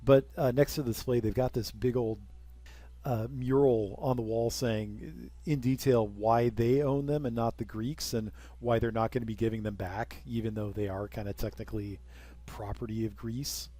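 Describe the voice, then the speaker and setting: Man, young adult, mellow tone, dry close mic, rambling game commentary, self-mocking asides. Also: medium pitch, fairly even intonation, normal speed, dry recording. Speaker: let's play commentator.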